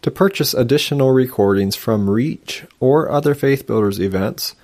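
A man's voice: medium pitch, normal speed, darkish tone. A man reading out a closing announcement for a recording. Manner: plain, read-out delivery.

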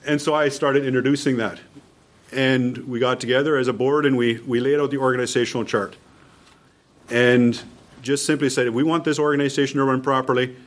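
A man with a Canadian accent giving an informal instructional talk to a group, emphatic and repeating his key points. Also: mid-range voice. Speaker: mission leader presenting at a workshop.

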